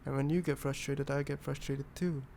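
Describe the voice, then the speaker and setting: A young man from Indonesia with an altered British accent, talking softly and rambling in a boyfriend ASMR roleplay. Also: medium pitch, fairly even intonation, brisk, gravelly, slight background noise. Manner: soft, rambling, self-deprecating.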